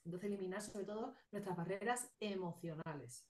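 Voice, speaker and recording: A woman psychologist and interview guest with a regional Spanish accent, calmly explaining and listing points. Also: mid-range voice, brisk. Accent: non-Madrid Spanish. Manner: calm, explanatory, lists things. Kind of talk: casual interview.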